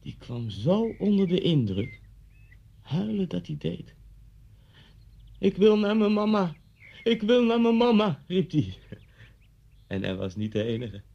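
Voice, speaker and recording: Intense, swooping, husky former pupil. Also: male voice, medium pitch, sing-song, a little slow, long pauses, full character voice. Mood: insistent, vivid. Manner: vivid, dramatic storytelling with pointed undertone. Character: intense, brooding former pupil confronting his old schoolmaster. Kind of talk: Dutch radio play dialogue, storytelling. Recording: dull lo-fi radio play recording.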